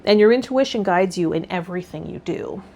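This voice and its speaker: A woman hosting a tarot vlog, reading card meanings and then talking loosely and gently about the week ahead. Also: lowish voice, expressive, fairly quick, noisy background. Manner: loose and rambling with fillers when unscripted. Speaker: tarot vlogger.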